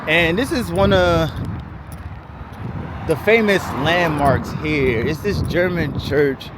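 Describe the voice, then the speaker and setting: A man's voice: on the high side, slow pace. Chatty man vlogging on city streets. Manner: rambling, chatty, many "like" and "um" fillers. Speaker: travel vlogger. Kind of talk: casual walking travel vlog. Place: outdoors on quiet city streets, walking. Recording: outdoor street noise and traffic.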